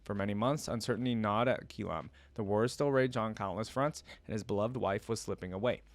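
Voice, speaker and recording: Man, slightly deep voice, dry recording, reaction video host, story reading, casual commentary. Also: brisk, dry recording.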